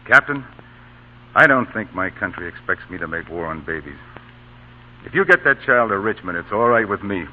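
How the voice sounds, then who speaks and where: An actor playing a measured, authoritative Union colonel, stern yet kindly, in old radio drama style. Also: male voice, sits low, sing-song. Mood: grave sympathy. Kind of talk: 1930s-1940s radio acting. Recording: tinny, hissy old radio broadcast.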